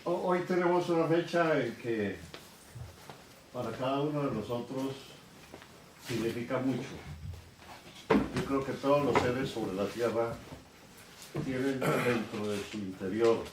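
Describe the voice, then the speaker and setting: A man leading a church service, welcoming visitors and preaching slowly and earnestly with pauses. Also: mid-range voice. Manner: slow, earnest, with pauses and fillers. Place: church sanctuary. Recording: echoey church, distant mic, noisy.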